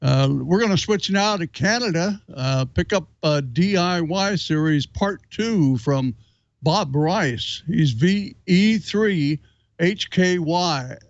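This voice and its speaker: Hobby show host, male, higher-pitched, measured pace, slightly muffled recording. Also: lively intonation, no echo. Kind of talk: presenting segments on a hobby show. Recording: dull, dry lo-fi sound.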